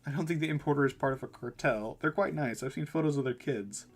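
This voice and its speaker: A man voicing a gentle, worried male mentor in a visual novel, soft-spoken, earnest and apologetic. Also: medium pitch, fast talker, slightly rough. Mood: mild. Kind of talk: visual novel dialogue read aloud.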